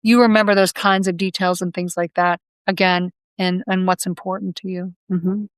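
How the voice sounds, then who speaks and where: Female hypnotherapist guest, chatty and meandering, crisp voice, dry close sound. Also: lowish voice, little pitch movement, moderate pace, dry recording. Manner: rambling, with frequent restarts and self-corrections. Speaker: past life hypnotherapist guest. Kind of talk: conversational interview answers.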